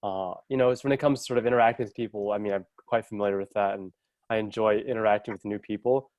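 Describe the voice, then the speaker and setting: A man's voice: fairly low pitch, steady tone, fast, a little mellow, no room sound. A young man in his twenties, a recent college graduate volunteer, answering thoughtfully and hedging with "I guess" and "sort of". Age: 20s. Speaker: service corps volunteer. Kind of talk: reflective answers.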